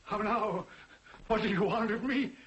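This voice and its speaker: A crotchety, frightened old miser in a 1940s television drama. His voice is high and sharp, with halting, theatrical delivery. The old soundtrack has faint noise and clicks. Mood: querulous, doubting.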